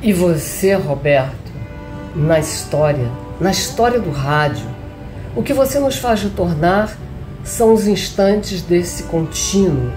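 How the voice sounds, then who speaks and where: A woman reading a poetic text aloud in a solemn, declamatory way with measured phrasing. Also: lowish voice, lively intonation. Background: music.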